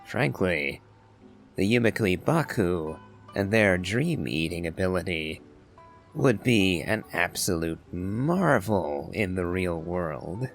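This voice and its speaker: A man giving casual game commentary as a streamer, in a musing, wry and measured way. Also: sits low, animated, creaky, faint music behind. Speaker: gaming streamer.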